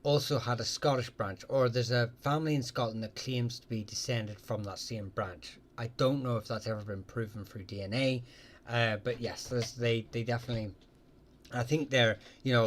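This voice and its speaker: A man hosting a live question-and-answer stream, talking casually and haltingly with many ums and restarts as he answers viewers. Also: mid-range voice, steady tone, a little hiss or hum.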